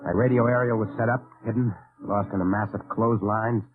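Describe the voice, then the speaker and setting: An actor playing an intense, distrustful undercover agent in a dramatic old-time radio play. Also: male voice, lowish voice, fairly even intonation, brisk, faint music behind, lightly acted. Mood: grave, recounting. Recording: tinny vintage radio recording.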